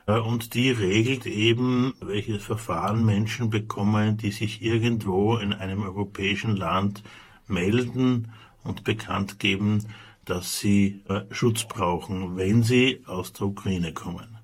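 Man, Austrian accent, low husky voice, measured, hesitant, explaining procedures. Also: fairly even intonation. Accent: Austrian German. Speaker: aid organization spokesman. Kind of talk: radio interview answers.

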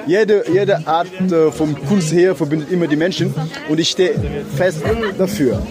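High-voiced man. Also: fairly even intonation. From Mauritania, raised in Senegal. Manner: halting, searching for words with frequent fillers. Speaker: event host and organizer. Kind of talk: casual interview answers. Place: outdoors at a city event. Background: loud music.

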